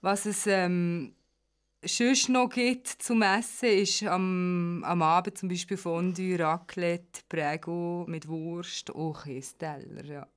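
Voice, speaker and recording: Slow-talking Swiss German woman. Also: on the low side, steady tone, smooth. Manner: easygoing, explaining. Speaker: studio guest. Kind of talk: casual radio chat.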